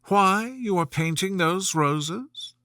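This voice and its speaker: A man's voice: sits high, animated, takes it slowly, pushed and bright, no echo, clean studio sound, voice pushed up, full character voice. A man voicing a polite young storybook girl who is earnest, a little timid and yet plucky.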